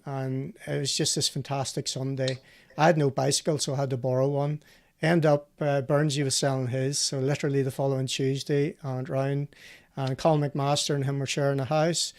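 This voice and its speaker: A man's voice: mid-range voice, darkish tone. A man hosting a casual live motorsport chat, telling anecdotes and bantering in a chatty way. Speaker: host of a live rally show; former professional co-driver.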